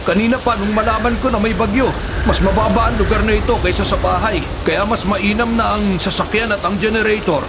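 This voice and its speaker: An actor playing a cautious, measured shopkeeper husband in a Filipino radio drama. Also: male voice, high-pitched, fairly even intonation, very noisy. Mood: steady, concerned. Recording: music and rain effects behind.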